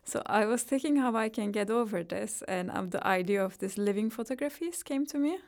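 A woman with a Turkish accent explains her ideas in a halting, reflective way, with light laughs between thoughts. Her voice has a gravelly, creaky texture at a middle pitch. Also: expressive, a little mellow. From Turkey. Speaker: artist guest. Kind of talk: interview conversation.

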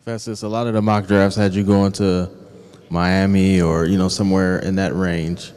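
A man's voice: sits low, level tone, normal speed, darkish tone, clean tone. A male sports reporter asking questions on a reverberant press conference call.

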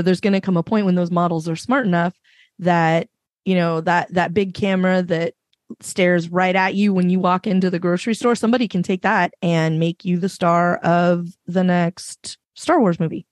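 A woman with a fairly low voice, speaking emphatically and passionately as a panelist in a casual discussion. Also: no room sound, studio quality. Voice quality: reasonably low voice. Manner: emphatic, passionate, many fillers. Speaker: podcast panelist.

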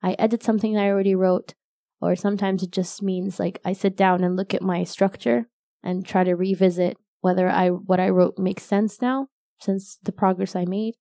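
A young woman whose first language is Arabic, chatting casually as a guest in an interview, self-deprecating and quick to laugh. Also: mid-range voice, little pitch movement, mellow, no room sound, studio quality. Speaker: novelist guest.

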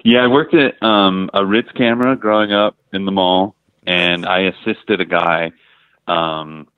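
A low-pitched middle-aged American man from the Midwest tells stories in a loose, filler-heavy way, swearing casually as he goes. His voice comes through a narrow, phone-like line with a dry sound. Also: steady tone, a little slow, smooth, no echo. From Ohio and Michigan, US. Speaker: guest photographer. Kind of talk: casual interview chat.

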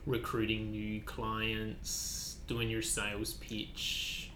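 A man's voice: lowish voice, monotone, very slow, sharp tone. A man hosting a podcast, asking long, chatty questions that trail on in a casual interview. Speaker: podcast host. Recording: echoey room with faint background hum.

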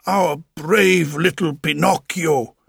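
A man acts out a doting old father, a woodcarver in a storybook. He speaks slowly and with emphasis. The tone is very breathy and husky, proud and gently instructive. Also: fairly high pitch, steady tone, no room sound, clean studio sound, pitched above the performer's own voice, heavily acted. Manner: deliberate, fond, emphatic. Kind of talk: audiobook character voice.

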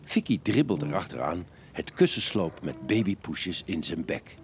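A man's voice: low-pitched, big pitch swings, normal speed. A man narrating a children's audio story in a dramatic, suspenseful, scripted style. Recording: music bed behind the narration.

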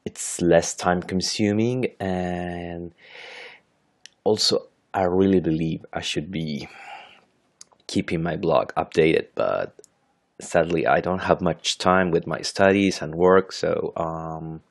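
A man's voice: deep voice, slow, gravelly. A man in his thirties from Chile with a Chilean Spanish accent, a native Spanish speaker hosting an unscripted solo podcast in English, hesitant and full of fillers and self-corrections. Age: 30s. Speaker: podcast host.

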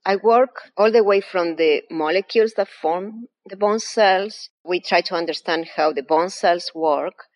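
A woman with a low, deep voice and an Argentine accent answers interview questions. She explains her science step by step in a patient, methodical way, a guest laying out her field for listeners. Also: a little slow, few pauses, a little mellow. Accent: Argentine Spanish. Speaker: anatomy professor, guest.

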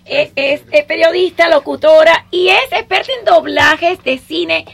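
A woman with a Cuban accent hosting a radio show, talking fast and excitably in a studio. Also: extremely high pitch, creaky. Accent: Cuban Spanish. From Cuba. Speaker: radio host. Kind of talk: casual radio chat.

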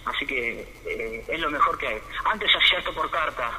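A man from Buenos Aires, a guest on the phone, talking in a halting, repetitive way with many restarts. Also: on the high side, expressive, pushed and bright, slight background noise, low-quality recording. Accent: Buenos Aires Spanish. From Argentina. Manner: halting, repeats words, grateful. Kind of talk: casual phone interview.

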